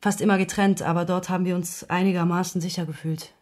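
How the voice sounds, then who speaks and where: A woman's voice: on the low side, level tone, fast, darkish tone, close to an everyday voice. A woman playing a guarded, grieving lover who confides her secret in a radio drama. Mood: confiding.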